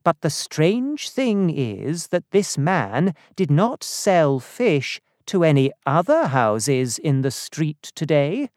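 A narrator voicing a concerned male doctor in an audiobook story, formal and measured. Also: medium pitch, big pitch swings, darkish tone, dry recording, studio quality.

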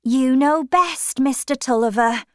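Female reader as a fussy, anxious wife of about forty, high and pleading, dry recording. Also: measured pace, dry recording. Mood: timid.

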